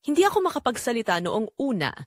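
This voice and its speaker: A woman's voice: fairly high pitch, big pitch swings, fast talker, dry recording, studio quality. A woman narrating a first-person dramatic story in an emotive, sentimental way.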